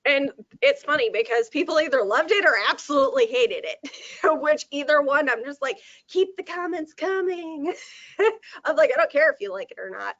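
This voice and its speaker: A woman with a slightly hoarse, sore throat, chatting at length on a video call and laughing easily. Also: sits high, lively intonation, moderate pace, a bit bright, dry recording. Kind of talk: casual video call update. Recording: dull lo-fi video call audio.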